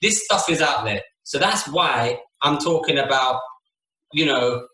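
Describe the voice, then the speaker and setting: Bright-voiced man in an echoey room. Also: medium pitch, animated, measured pace. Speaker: podcast co-host. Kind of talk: casual argumentative chat. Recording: roomy, echoey room sound.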